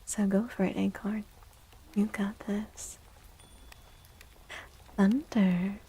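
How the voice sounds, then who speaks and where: A woman speaking softly and soothingly in a whispery, breathy voice, as an ASMR livestream host. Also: mid-range voice, flat delivery. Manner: gentle, soothing, unhurried. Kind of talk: soft-spoken ASMR, tarot reading and chatting with viewers.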